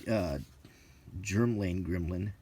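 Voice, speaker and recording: Middle aged guy rambling off the cuff, real slow and halting, bit of noise and clicking in the recording. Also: low-pitched. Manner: rambling, halting, musing aloud in short phrases. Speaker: host of a hobby channel. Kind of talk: casual unscripted commentary while leafing through a book.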